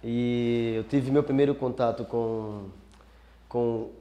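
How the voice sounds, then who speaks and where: A man's voice: medium pitch, steady tone, muffled recording. A man in his forties introducing himself in a documentary interview, speaking hesitantly, recorded indoors on a studio set with a lapel mic. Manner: slow, hesitant.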